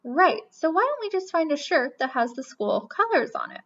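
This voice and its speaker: A woman voicing a patient young boy in a storybook, gently coaxing his little brother. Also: high-pitched, very animated, moderate pace, creaky, voice pushed up, full character voice. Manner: coaxing, encouraging. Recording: dull lo-fi recording.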